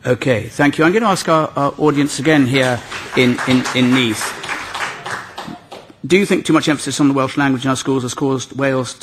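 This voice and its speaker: A man hosting a radio panel debate, briskly calling on speakers and cutting in to keep order. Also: mid-range voice, noisy background. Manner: brisk, calls names and cuts in to manage debate. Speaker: host.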